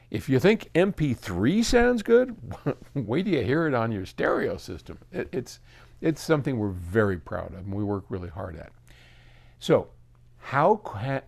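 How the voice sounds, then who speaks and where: A man with a mid-pitched voice speaks as a host in a casual, explanatory way. He thinks aloud as he goes and leans on key words for emphasis, keeping the delivery conversational. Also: darkish tone. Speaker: record label host answering listener questions. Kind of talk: casual explanatory talk to camera.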